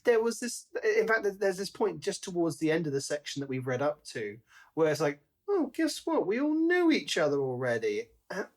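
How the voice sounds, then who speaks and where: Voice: medium pitch, big pitch swings, normal speed, darkish tone. A podcast co-host chatting casually about a book, thinking aloud in a conversational, musing way. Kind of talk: casual book discussion chat.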